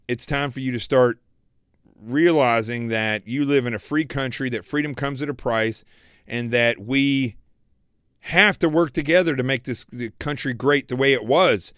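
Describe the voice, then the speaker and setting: Man, show host, preachy, repetitive, hoarse, mid-pitched voice, dry close sound. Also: fairly bright tone, no room sound. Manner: preachy, emphatic, repeats phrases. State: losing his voice. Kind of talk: political commentary livestream.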